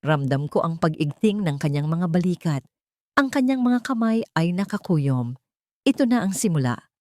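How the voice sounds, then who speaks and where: A woman's voice: on the low side, expressive, moderate pace, darkish tone, no echo. A woman reading a scripted dramatic story as an audiobook narrator.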